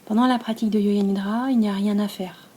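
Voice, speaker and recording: A woman with a French accent from France leads a guided relaxation as a yoga nidra teacher, speaking softly, breathily and calmly, with long pauses between phrases. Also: medium pitch. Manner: soft, breathy, calm and soothing delivery.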